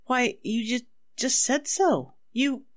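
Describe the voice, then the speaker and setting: An actress playing an anxious, evasive wife under suspicion, melodramatic and faltering, in 1940s radio style. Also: female voice, a little high, slow. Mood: shocked. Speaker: actor in a radio drama.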